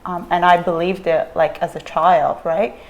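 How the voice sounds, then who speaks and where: A woman's voice: lowish voice, little pitch movement, normal speed, low-quality recording. Reflective young woman from Iraq. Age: young adult. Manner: reflective, hesitant, many fillers. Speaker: panel guest. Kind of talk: casual panel discussion.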